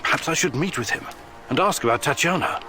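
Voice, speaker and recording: An actor playing a wary, skeptical male hero in a video game, questioning and steady. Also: a little high, fast, over music, natural voice. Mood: suspicious. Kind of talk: video game character acting.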